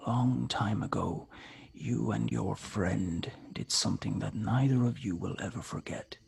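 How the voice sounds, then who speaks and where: Man playing a husky, menacing kidnapper. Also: on the low side, measured pace, a little mellow, exaggerated voice. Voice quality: husky, breathy, gravelly. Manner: controlled, commanding, threatening. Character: menacing male kidnapper threatening a mother by phone. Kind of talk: screenplay table read.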